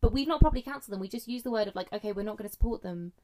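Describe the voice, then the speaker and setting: A young woman co-hosting a podcast, talking loudly and animatedly while holding the microphone very close. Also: medium pitch, very fast. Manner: loud, animated ranting. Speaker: podcast co-host. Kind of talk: casual podcast chat. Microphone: handheld mic held close, no foam cover.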